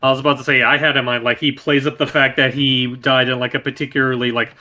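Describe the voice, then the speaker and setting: Bright-voiced man in casual banter. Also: mid-range voice, level tone, fast. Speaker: voice actor on a panel.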